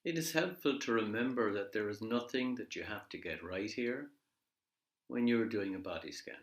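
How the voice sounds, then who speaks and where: A man leading a guided body scan meditation in a measured, gently instructive way, leaving pauses between phrases. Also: fairly low pitch. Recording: echoey room.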